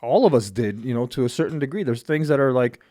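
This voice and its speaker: A man who was born in Armenia, hosting a podcast and chatting casually in a blunt, profane, animated way. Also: mid-range voice, quick pace, no echo, clean studio sound. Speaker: podcast host. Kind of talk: casual chat.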